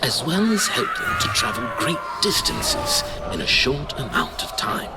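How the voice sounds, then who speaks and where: A man playing a grave old archivist and mentor in an audio drama, telling history in a measured, formal, portentous way. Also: on the high side, big pitch swings. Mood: storytelling. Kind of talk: audio drama acting, storytelling narration. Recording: very loud music behind voice.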